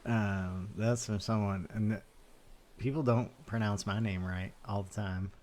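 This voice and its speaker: A man's voice: on the low side, fairly even intonation, a little slow, a little mellow, lightly acted. A man playing an awkward, easygoing guy in a roleplay game, who talks in hesitant, rambling loops and repeats himself. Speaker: roleplay character. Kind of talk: improvised roleplay chat.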